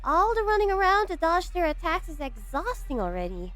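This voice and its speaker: A woman voicing a playful, excitable scientist director in an amateur fan dub, talking in an animated, teasing way. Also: very high-pitched, fairly quick, soft background music, full character voice. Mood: flustered.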